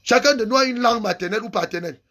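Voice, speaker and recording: A man's voice: sits high, very fast, fairly bright tone. A man giving an angry, impassioned political rant, hammering home repeated phrases. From Cameroon. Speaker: activist vlogger.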